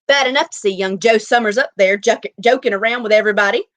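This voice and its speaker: A woman's voice: mid-range voice, fast, fairly bright tone, no echo, natural voice. Storybook old man, played by a woman, grumbling, scornful and stubborn, dry close sound. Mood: scornful, petulant. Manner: petulant, stout grumbling.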